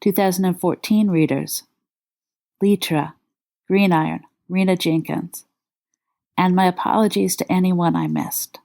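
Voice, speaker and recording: A woman with a fairly low voice reads a story aloud, wry and measured. Her delivery stays steady and unhurried. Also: vocal fry. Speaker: podfic reader. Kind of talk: reading credits and a story journal aloud.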